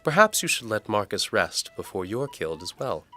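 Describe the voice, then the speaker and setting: Man voicing a forward young maid, pitched high. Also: huge pitch swings, normal speed, sharp tone, faint music behind, full character voice. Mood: concerned.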